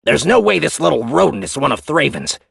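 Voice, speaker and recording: A man plays a defiant, gold-toothed space commander in a storybook tale, hostile and scornful. He talks loud and raised in pitch, with a husky edge. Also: very animated, fairly quick, dry recording, clean studio sound, exaggerated voice.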